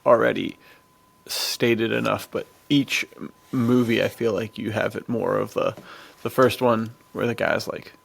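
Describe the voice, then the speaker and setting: Extremely creaky-voiced man, chatting casually. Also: fairly low pitch, fairly even intonation, unhurried. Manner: chatty, rambling, slangy, amused. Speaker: podcast co-host. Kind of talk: casual podcast discussion.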